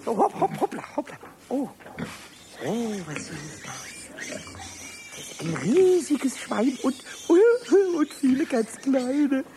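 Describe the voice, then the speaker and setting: A squeaky giggly little kobold, cheeky and up to mischief, voice bouncing all over, noisy old radio play. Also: male voice, slow, exaggerated voice. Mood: gleeful. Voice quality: high, squeaky and stammering. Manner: giggling, excitable, talks to himself. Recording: noisy 1970s radio play with farm animal sounds.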